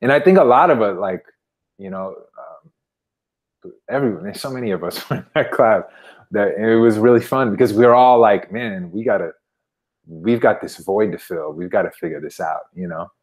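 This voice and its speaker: Low-voiced man in casual conversation. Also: normal speed. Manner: informal, anecdotal, frequent "you know". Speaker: podcast guest. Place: indoor room at home, video call. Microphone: wired earbuds on a video call.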